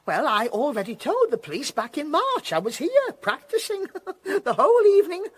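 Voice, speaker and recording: A flamboyant, fussy church organist for a radio mystery. His high, swooping voice is coy and theatrical, full of flirtatious, giggly flourishes. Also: huge pitch swings, moderate pace, heavily acted. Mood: breezy, proud. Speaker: actor in a radio detective drama. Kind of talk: radio drama acting.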